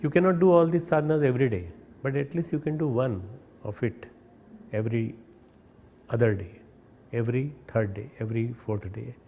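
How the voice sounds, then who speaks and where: A man with an Indian accent giving a recorded spiritual message, earnest and instructive, often pausing and restarting words. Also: medium pitch, steady tone, dark tone, slight background noise. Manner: hesitant, repeats and restarts words. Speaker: spiritual leader.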